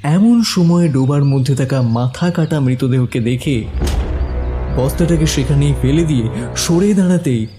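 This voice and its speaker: A man's voice: a little high, moderate pace, over music. A man narrating a scripted horror story as its storyteller.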